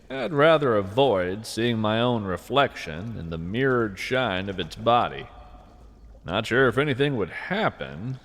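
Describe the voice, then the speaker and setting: A man hosting a scripted podcast, narrating whimsical nature fiction and poetry in an earnest, deliberate, conversational way. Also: lowish voice, long reverb tail.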